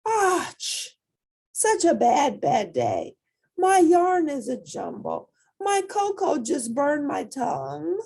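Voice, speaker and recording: Woman playing a cross, wailing storybook knitter, high-pitched. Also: huge pitch swings, slow, gravelly, darkish tone, full character voice. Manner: grumbling, scolding, dramatic. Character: cross, flustered woman knitter, scolding and complaining.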